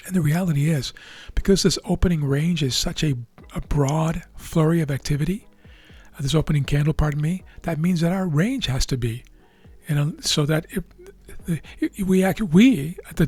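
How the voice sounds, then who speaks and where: A man with a raspy, tired voice, hosting a trading video and explaining live charts in a measured way with frequent fillers and restarts. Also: fairly high pitch, a little mellow. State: losing his voice.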